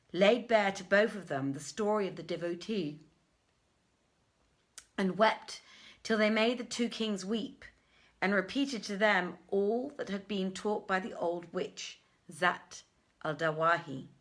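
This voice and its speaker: A woman storyteller reads classic literature aloud in a measured, formal, dramatic audiobook style. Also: medium pitch, fairly bright tone. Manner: measured, archaic formal phrasing.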